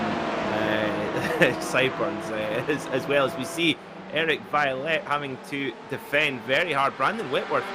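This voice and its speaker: Polite, chatty male co-commentator, mid-pitched, noisy line. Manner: chatty, polite, laughing asides. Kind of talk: live race commentary. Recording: noisy, slightly distant line.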